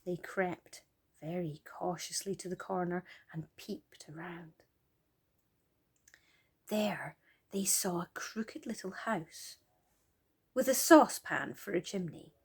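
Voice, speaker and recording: A woman storyteller narrating for children in a gentle, expressive way, often dropping to a hushed, breathy near-whisper. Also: fairly low pitch, slow pace, pauses often.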